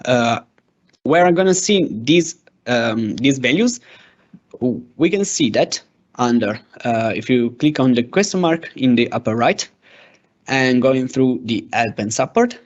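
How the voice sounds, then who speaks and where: A man's medium-pitched voice comes through a slightly muffled, lo-fi microphone. He talks slowly and haltingly, feeling his way as he presents a software tutorial step by step. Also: expressive. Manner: hesitant, halting delivery. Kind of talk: step-by-step software demo narration. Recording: dull, lo-fi microphone sound.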